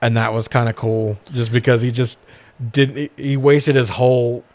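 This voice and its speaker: A man talks casually as a podcast co-host, recapping a film in an exasperated, sarcastic way. He swears freely, and his mid-pitched voice sounds close and dry. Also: level tone, moderate pace, darkish tone, no room sound. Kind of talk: casual movie recap banter.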